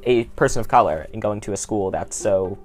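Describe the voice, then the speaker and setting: A man's voice: lowish voice, fairly quick, faint music behind. A teenage male with an American accent, hosting a casual interview podcast with sarcastic asides.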